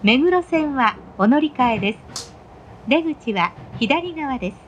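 A woman makes formal announcements on a train. Her voice is high and moves melodically up and down. She is courteous and scripted, with faint train noise around her. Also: expressive, a little slow, lo-fi sound. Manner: formal, polite. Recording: noisy onboard train recording. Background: train running noise.

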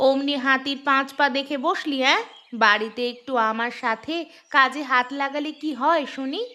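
Woman acting as poor village mother, earthy, nagging, bright sharp voice, fairy-tale cartoon. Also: high voice, moderate pace. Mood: scolding.

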